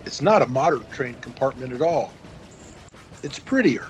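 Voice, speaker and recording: A man's voice: mid-range voice, lively intonation, normal speed, slight background noise, close to an everyday voice. A man playing an earnest, puzzled boyfriend in an audio fantasy drama.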